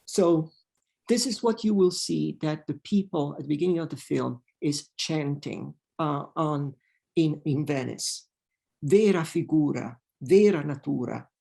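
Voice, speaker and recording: A man with an Italian accent, a native Italian speaker, giving a hesitant lecture full of fillers and slowly reading poetry aloud. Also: on the high side, creaky, a little mellow. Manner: hesitant, many fillers, reads verse slowly. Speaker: literature professor.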